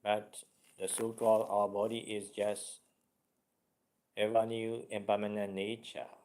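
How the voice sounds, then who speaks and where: A man guiding a meditation as a teacher, speaking deliberately in short, halting phrases with much repetition. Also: on the low side, flat delivery, a little mellow, rough recording.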